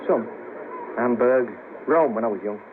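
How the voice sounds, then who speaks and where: A man's voice: mid-range voice, measured pace, narrow, tinny audio, lightly acted. An actor playing a world-weary, scheming ex band manager, down on his luck, in a colloquial 1970s radio drama. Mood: dry. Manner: wry, persuasive, colloquial. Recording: patchy noisy cassette of 1970s radio broadcast.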